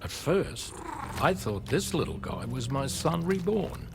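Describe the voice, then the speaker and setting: A grieving father in a video game cutscene, speaking very slowly and deliberately. His voice is gravelly, and his pitch rises and falls widely. Loud score music plays beneath him. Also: big pitch swings, full character voice. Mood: somber. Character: grieving middle-aged father, slow and measured. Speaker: father who lost his son.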